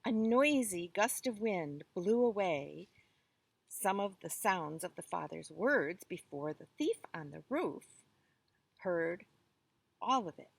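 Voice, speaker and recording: A woman reading a children's story aloud with expressive, deliberate phrasing. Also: on the low side, vocal fry, breathy edge, dry recording.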